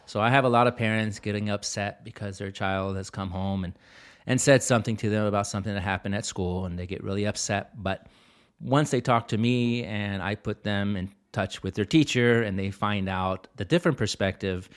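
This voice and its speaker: A man who grew up speaking Italian, a school dean of students talking as an interview guest in a calm, measured, reflective way. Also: fairly low pitch, smooth. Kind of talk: casual interview talk.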